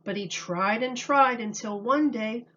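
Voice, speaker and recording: A woman reading a children's story aloud like a teacher, in a deliberate, expressive way. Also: on the high side, highs cut off.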